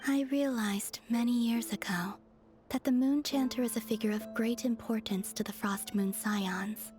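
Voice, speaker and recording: A woman as serene, high-voiced moon goddess. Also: fairly even intonation, moderate pace, darkish tone, faint music behind, natural voice. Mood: reflective. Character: ancient gentle female moon goddess, serene and reflective. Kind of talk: video game character acting.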